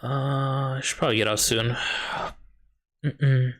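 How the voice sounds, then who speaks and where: A young American man with a light Chicago accent streams a strategy game, talking in a relaxed, mid-pitched voice. He muses half to himself and reads out viewer questions as they come in. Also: unhurried. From United States. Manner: thinks aloud, reads and answers chat questions. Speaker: streamer and high school math teacher. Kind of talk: casual live-stream chat while gaming.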